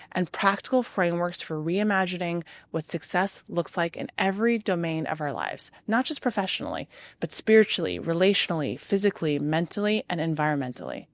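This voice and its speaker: Earnest woman podcast host, low voice. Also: no echo. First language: Arabic. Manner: earnest, rhythmic lists with emphatic pauses. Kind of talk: scripted monologue. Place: hotel room.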